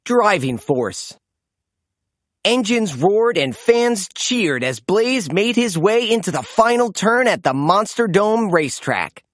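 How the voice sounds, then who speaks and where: Female storybook reader for kids: slow, very lively intonation, animated read-aloud narration. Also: mid-range voice, no room sound, studio quality.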